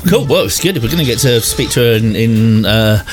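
A male radio host talks in a relaxed chat style. His pitch is average for a man, and music plays clearly underneath his voice. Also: a little fast. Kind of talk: casual chat.

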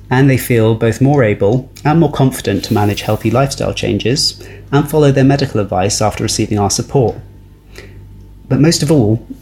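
A man's voice: medium pitch, a little mellow, slight background noise. A man delivering scripted podcast narration as a charity project leader.

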